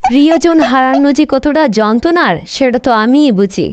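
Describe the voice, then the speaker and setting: A bossy, melodramatic old grandmother from a comic cartoon , acted by a woman. Her voice sits high, swinging between gushing and nagging. The amateur dub has clear background noise. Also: lively intonation, moderate pace, highs cut off. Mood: doting, sorrowful. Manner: scolding, melodramatic, gushing over her brother. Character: bossy elderly grandmother politician, doting sister, nagging wife.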